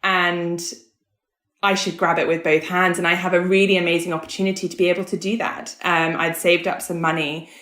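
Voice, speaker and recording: A woman's voice: on the low side, little pitch movement, a little fast, fairly bright tone. A woman in her thirties or forties who grew up in Hong Kong, telling her story candidly and casually as a podcast guest. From born in the US, grew up in Hong Kong. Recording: roomy, echoey sound.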